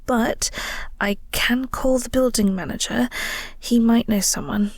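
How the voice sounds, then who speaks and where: A woman playing a flirty, awkward vampire co-worker in an intimate audio roleplay, soft and breathy, with a hesitant, polite, coy manner. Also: fairly high pitch, steady tone, measured pace, no room sound. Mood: uneasy.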